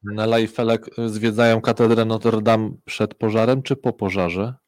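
A man's voice: on the low side, level tone, moderate pace, darkish tone, dry recording. A man chatting casually as an interviewer.

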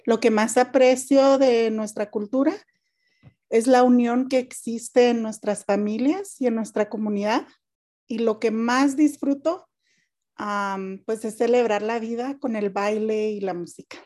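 Woman host from Mexico, calm scripted podcast delivery, warm mellow tone, fairly high voice. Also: a little slow. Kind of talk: scripted podcast introduction and moderating.

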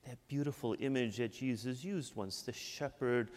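A man's voice: medium pitch, normal speed, darkish tone. A Midwestern American man from Michigan preaching a sermon as a priest, talking in a conversational way and often adding "right?". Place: church. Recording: long church reverb.

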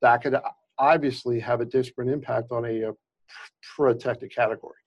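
A man of middle pitch speaks with a slight stutter, often restarting words. He explains legal examples in a conversational interview manner. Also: steady tone, fairly quick, lo-fi sound. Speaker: employment lawyer, guest.

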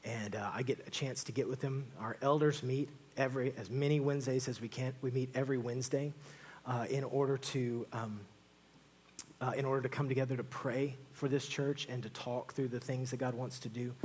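Guy pastor doing church announcements, kinda husky, chatty. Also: medium pitch, steady tone, fairly quick, darkish tone. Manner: casual and upbeat, with frequent fillers. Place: church sanctuary.

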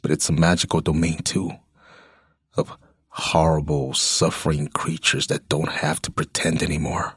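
An American man in middle age acting a world-weary, menacing yet tender ex-convict uncle in a radio drama, with a rough, husky voice. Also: extremely low pitch, level tone, normal speed, a bit bright, no room sound, clean studio sound, heavily acted. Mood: bleak, confiding.

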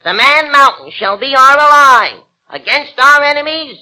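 Pompous, flustered tiny king, high and piercing, old radio play. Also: expressive, moderate pace, bright, forceful tone, full character voice, low-quality recording. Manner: pompous, fussy, easily flustered. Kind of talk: 1940s radio acting. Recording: old radio broadcast with music.

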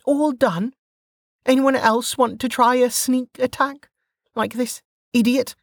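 A woman's voice: high-pitched, fairly even intonation, slow pace, no room sound, clean studio sound, voice pushed up, full character voice. A narrator voicing a brash, cocky young woman fighter who talks in a bossy, taunting way.

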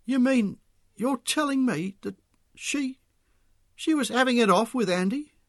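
A man's voice: high voice, expressive, fast, dry recording, clean studio sound, voice pushed up. A man voicing an agitated, bewildered working-class husband in a story, firing urgent questions. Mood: shocked, disbelieving.

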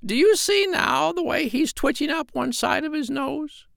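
A man voicing a bossy, clever female parrot in a shrill, high voice for a children's storybook. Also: expressive, moderate pace, vocal fry, fairly bright tone, dry recording, clean studio sound, full character voice. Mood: instructive. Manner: emphatic, instructing.

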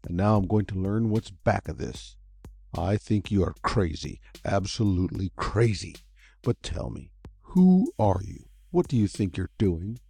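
A man voicing a blunt, tough young male adventurer who speaks gruffly and with exasperation. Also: fairly low pitch, animated, unhurried, mellow, full character voice. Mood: exasperated.

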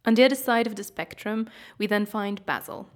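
A woman with a mid-pitched voice gives scripted documentary narration. She explains background in a read-aloud way. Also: brisk.

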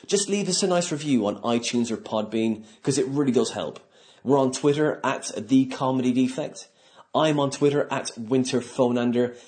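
A man's voice: mid-range voice, a little mellow. A man hosting a podcast, talking informally in a chatty, rambling way. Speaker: podcast host and comedian. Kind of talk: casual spoken podcast introduction and chat.

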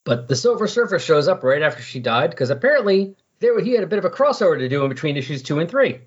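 Husky man rambling through explanations. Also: fairly high pitch, very animated, quick pace. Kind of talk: casual comic book discussion. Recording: dull lo-fi voice recording.

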